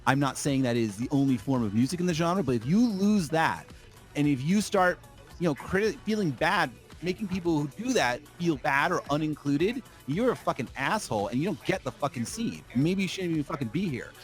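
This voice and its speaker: A man's voice: on the high side, lively intonation, fairly quick, faint music behind. A man chatting casually on a podcast, slipping into an opinionated rant, emphatic and repetitive, with plenty of swearing.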